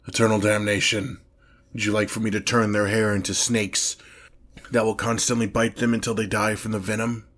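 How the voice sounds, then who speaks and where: A man playing an imperious ancient demon lord in an ASMR fantasy roleplay, speaking in a pompous, theatrical, drawn-out manner, menacing and easily exasperated by mortals. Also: fairly low pitch, little pitch movement, a bit bright. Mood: intrigued, imperious.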